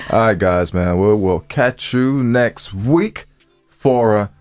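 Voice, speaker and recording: A man's voice: fairly low pitch, takes it slowly, a little mellow, no room sound, soft background music. A man co-hosting a podcast with playful, slangy, joking banter, swearing freely and repeating his phrases. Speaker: podcast co-host.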